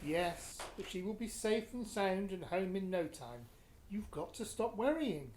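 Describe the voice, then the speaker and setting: A British woman playing a blunt, indignant but protective parent in a radio play, with a brisk manner. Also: medium pitch, expressive, darkish tone, lightly acted. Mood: reassuring. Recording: roomy, faintly noisy recording.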